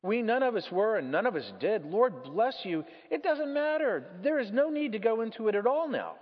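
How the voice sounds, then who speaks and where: A man voicing a serene, mirthful redeemed spirit, gentle, patient and frank, in a dramatic storybook reading. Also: high-pitched, very animated, moderate pace, voice pushed up. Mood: gently frank. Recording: muffled, echoey large hall.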